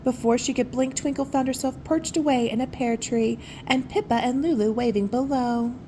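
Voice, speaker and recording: Woman, fairly high voice, children's storyteller, gentle chatty asides, slight background noise. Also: moderate pace, low-quality recording. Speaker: children's picture-book reader.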